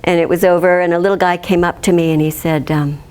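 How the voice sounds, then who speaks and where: A woman's voice: on the low side, steady tone, fast, darkish tone, smooth. A woman in her fifties, a children's librarian, teaching a chatty demonstration lecture and laughing often. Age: 50s.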